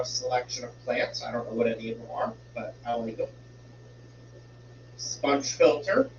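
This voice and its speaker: A man hosting a casual live stream from home, rambling while he fixes settings, sounding congested and a bit stuffy. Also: medium pitch. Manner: slow, rambling, fiddles with settings while talking. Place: home room with a fish tank. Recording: roomy, distant, dull home stream with hum.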